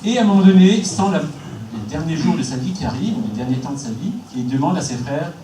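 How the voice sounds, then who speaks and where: A priest giving a lively conference talk on faith and ecology, acting out inner voices, with frequent restarts. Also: male voice, a little high, quick pace, background noise. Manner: animated, acts out inner voices, many restarts. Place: hall. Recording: echoey hall, distant mic, faint background.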